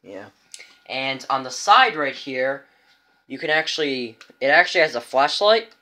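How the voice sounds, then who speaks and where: A man's voice: medium pitch, sing-song, a little slow, bright, forceful tone. Casual, rambling man showing off gear. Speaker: vlogger showing a radio collection. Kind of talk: casual show-and-tell. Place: indoors in a room at home.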